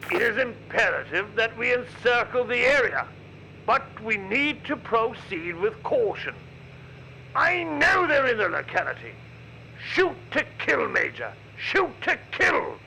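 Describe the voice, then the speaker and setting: An actor playing a commanding, ruthless British army general in a radio play, with clipped, authoritative delivery. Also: male voice, very high-pitched, very animated, a little slow, gravelly, pushed and bright, a little hiss or hum, heavily acted, poor audio. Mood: stern, commanding. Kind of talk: radio play acting, military radio exchange.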